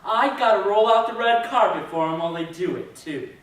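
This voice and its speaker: Man acting irritable small-town lawman, grumbling, readers theater, high voice, echoey and distant. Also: brisk, close to an everyday voice. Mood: grudging, irritated. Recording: very echoey stage from far off.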